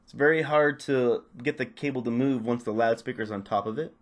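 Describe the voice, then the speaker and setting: A man's voice: medium pitch, fairly quick. Man talking through a hands-on repair tutorial. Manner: unscripted, narrating while working. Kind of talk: casual step-by-step hands-on instruction.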